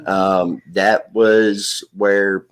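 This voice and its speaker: Man, search team member, low voice, very slow and measured delivery. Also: little pitch movement, clean tone. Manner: slow and deliberate, measured explanations. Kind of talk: casual live-stream discussion.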